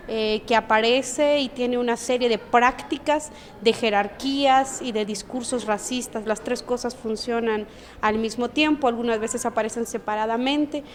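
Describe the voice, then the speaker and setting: A woman giving an analytical interview answer in a lecturing way. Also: on the high side. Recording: reverberant room with faint noise.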